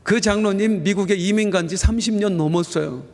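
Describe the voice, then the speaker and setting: High-voiced pastor preaching in an echoing hall. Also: male voice. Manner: earnest preaching, slowing down for emphasis and rising on key phrases. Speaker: senior pastor. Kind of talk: Sunday sermon. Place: church sanctuary. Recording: reverberant church hall.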